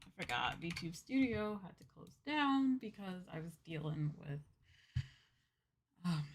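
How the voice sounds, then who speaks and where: A woman's voice: fairly low pitch, lively intonation, unhurried, husky. A woman hosting a casual livestream, chatting to viewers in a flustered, scattered, apologetic manner. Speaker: VTuber livestream host.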